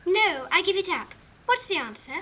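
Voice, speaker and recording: A woman's voice: very high-pitched, sing-song, moderate pace, fairly bright tone, full character voice. A woman playing a polite, puzzled, easily indignant little English girl with prim RP speech in a 1950s storybook recording. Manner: prim, precise, questioning. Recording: noisy vintage 1950s record.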